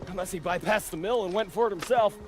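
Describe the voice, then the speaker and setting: An actor playing a cynical, wary army private with a sardonic, muttering manner in a war game cutscene. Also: male voice, high voice, fast talker, lightly acted. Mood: wary, needling. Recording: clear music under the voice.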